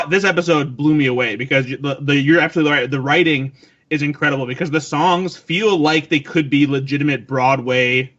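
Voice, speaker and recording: A man's voice: fairly high pitch, fairly bright tone. A man on a podcast panel, talking with eager enthusiasm. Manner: enthusiastic, gushing. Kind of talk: casual podcast chat. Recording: slightly dull podcast audio.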